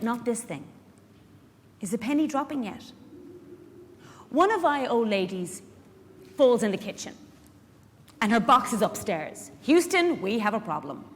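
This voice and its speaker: A woman's voice: fairly high pitch, slight background noise. Irish woman acting a wry chatty carer on stage, really up and down delivery, asks pointed questions, big echoey hall. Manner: conversational, pointed rhetorical questions. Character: chatty, frank, wry woman carer looking out for elderly clients. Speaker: actor in a dramatic reading. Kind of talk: theatrical monologue addressed to a live audience. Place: large indoor hall at a public event.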